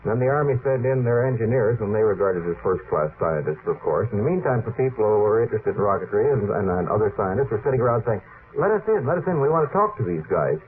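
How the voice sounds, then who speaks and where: A man's voice: fairly low pitch, sing-song, quick pace. A man in a radio panel discussion, arguing in a sardonic, contrarian way with hesitant starts. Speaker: panel guest, sceptical debater. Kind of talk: argumentative radio panel talk. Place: radio studio. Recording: noisy, phone-like old 1950s radio recording.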